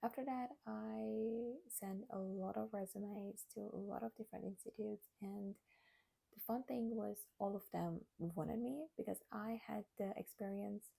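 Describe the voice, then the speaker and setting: A woman in her twenties from Iran, a native Armenian speaker, talking candidly to camera in a personal vlog, hesitant and soft, with many fillers and restarts. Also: medium pitch, steady tone, measured pace, creaky, slightly rough, rough recording. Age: 20s. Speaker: vlogger.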